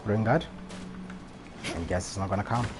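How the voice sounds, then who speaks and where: A man's voice: lowish voice, normal speed, very noisy. A man giving casual, think-aloud commentary while playing a video game on a live stream, with mild swearing. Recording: game music behind the voice. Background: loud game music and effects.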